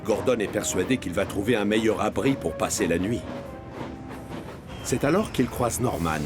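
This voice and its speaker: A man's voice: fairly low pitch, moderate pace, over music. A man narrating a scripted children's story.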